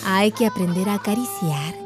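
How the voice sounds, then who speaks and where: A woman's voice: medium pitch, animated, moderate pace, lightly acted. An actress playing a tender young woman, coaxing her man affectionately in a radio drama. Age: young adult. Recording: loud guitar music behind. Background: guitar music.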